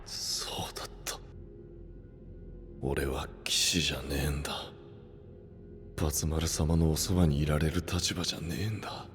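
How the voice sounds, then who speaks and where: An actor playing a possessed young would-be knight, rough and brusque, desperate for approval, in an anime-style audio drama. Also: male voice, a bass voice, fairly even intonation, normal speed, pauses often, music in the background. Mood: dazed, brooding. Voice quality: gravelly. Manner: rough, brusque masculine speech.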